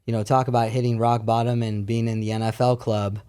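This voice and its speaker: Clear-voiced man in his thirties, candid. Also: medium pitch, little pitch movement, fast talker, darkish tone. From born in Paris, France, moved to America at twelve. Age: 30s. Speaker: podcast guest. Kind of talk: casual storytelling chat.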